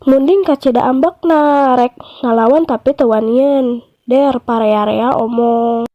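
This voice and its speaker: Slow, high-voiced Sundanese woman teacher. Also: dry recording, muffled recording. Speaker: primary school teacher. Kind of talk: lesson and storytelling narration for children.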